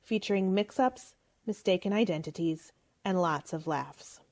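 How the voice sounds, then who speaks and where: A woman's voice: lowish voice, moderate pace, a little mellow. A woman host giving a scripted introduction and narration for a radio play.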